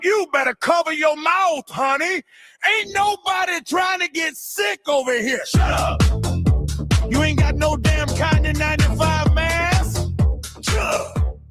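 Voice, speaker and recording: A man playing a brassy, very high sassy passenger. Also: unhurried, over loud music. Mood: exasperated scolding. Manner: brassy, scolding, sing-song exclamations. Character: loud sassy woman passenger scolding taxi driver. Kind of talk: comedy skit.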